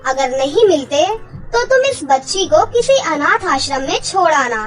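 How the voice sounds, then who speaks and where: A woman voices a wise cartoon fairy queen. She gives counsel with authority in a very high voice, slowly, with music behind. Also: sing-song. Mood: grave, advising. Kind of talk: cartoon story acting.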